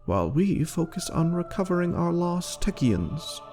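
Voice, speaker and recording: A man voicing a cold, calculating queen with a measured, aloof manner in a story reading. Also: on the high side, very animated, a little mellow, soft background music, pitched above the performer's own voice. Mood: cool resolve.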